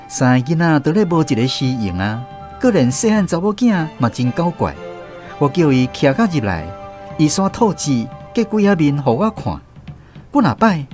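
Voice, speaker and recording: High-pitched, animated male storyteller. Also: moderate pace, faint music behind. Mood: wry. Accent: Taiwanese. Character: storyteller recalling his naive, curious country boyhood. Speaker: narrator. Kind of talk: scripted storytelling narration for Taiwanese-language radio drama.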